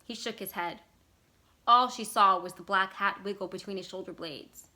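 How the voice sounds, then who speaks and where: A woman's voice: mid-range voice, moderate pace, sharp tone. A woman reading a short story aloud as scripted literary narration. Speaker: library staff member reading a story aloud.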